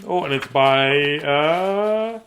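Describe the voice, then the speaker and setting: Man, middle-aged, slightly high voice, playful storyteller, rambling casual chat, silly, self-deprecating. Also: expressive, very slow, fairly bright tone, slight background noise. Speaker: children's story reader, host. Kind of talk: casual livestream chat and bedtime story reading.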